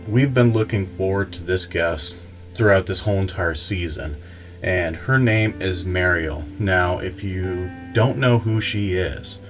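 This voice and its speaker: A man with a very low voice hosts a casual podcast interview. He introduces his guest with measured emphasis and puts questions to her steadily. Quiet music plays faintly behind him. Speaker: podcast host.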